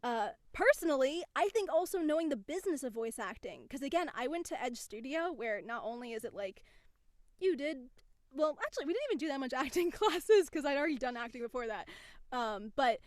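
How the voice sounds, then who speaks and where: Woman casting director as guest; lively, fairly high voice, dead-dry mic. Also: fairly quick, dry recording. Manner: animated, explains with quoted examples. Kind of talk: casual interview talk.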